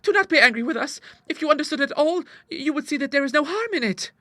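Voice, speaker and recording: Young Victorian daughter voiced by a man: high, playful, wheedling, bright and very animated. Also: fast, dry recording, exaggerated voice. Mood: coaxing. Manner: pleading, sweetly wheedling. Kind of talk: dramatized audiobook dialogue.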